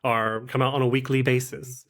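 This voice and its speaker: Casual male podcast guest, mid-pitched and halting. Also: level tone, a bit bright. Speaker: podcast guest, actual-play game master and producer. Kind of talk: casual interview talk.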